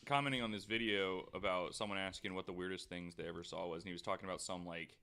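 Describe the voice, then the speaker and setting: A man's voice: low voice, steady tone, fast talker, gravelly, bright, forceful tone, smooth. A man hosting a casual podcast panel, rambling through a story and reading out figures from his notes, swearing now and then. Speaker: podcast host presenting the story.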